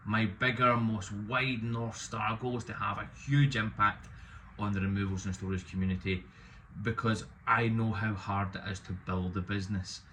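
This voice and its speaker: A man in his thirties, loud and opinionated with a self-deprecating streak, talking casually to camera about his business. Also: on the low side, steady tone, moderate pace, a little hiss or hum. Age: 30s. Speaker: business owner vlogging.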